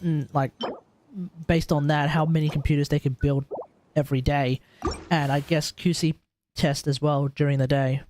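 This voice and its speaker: Higher-voiced Australian man streaming. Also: flat delivery, normal speed, darkish tone, slight background noise. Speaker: game live streamer. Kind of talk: casual rambling stream chat. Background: game music.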